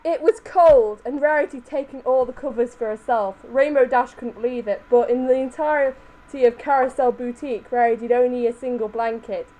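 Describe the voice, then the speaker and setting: A woman's voice: a little high, fairly quick, dark tone. A woman giving an amateur dramatic reading of fan fiction in a group. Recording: faintly noisy home voice-chat recording.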